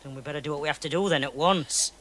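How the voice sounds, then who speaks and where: A man's voice: on the high side, fast talker, a bit bright. An actor playing a villain's sly, practical henchman in a fantasy drama, with a wheedling manner. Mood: sly urging.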